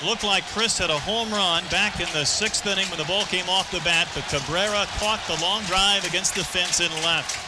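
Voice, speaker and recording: Guy doing live baseball play by play, voice pitched high, big noisy stadium crowd behind him. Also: moderate pace, few pauses. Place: stadium broadcast booth. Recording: noisy ballpark broadcast, loud crowd. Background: roaring stadium crowd.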